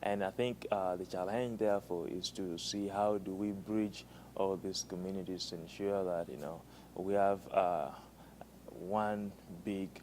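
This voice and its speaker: Deep male student, thoughtful, leans on fillers, light hiss in the room. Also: fairly even intonation, a little slow, darkish tone, poor audio. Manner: frequent "you know" fillers, thoughtful. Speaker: international student interviewee. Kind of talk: interview answers.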